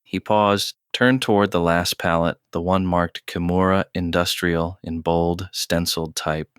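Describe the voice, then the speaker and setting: A man narrates a scripted audio drama in a low, smooth voice. His delivery is measured and terse with very little rise or fall. The sound is close and dry. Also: steady tone, a bit bright, no room sound.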